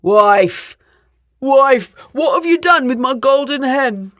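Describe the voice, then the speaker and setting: Woman acting a booming, bossy storybook ogre. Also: lively intonation, slow pace, no room sound, studio quality. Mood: angry, alarmed. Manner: booming, commanding declamation. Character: huge gruff, bossy man-eating male ogre.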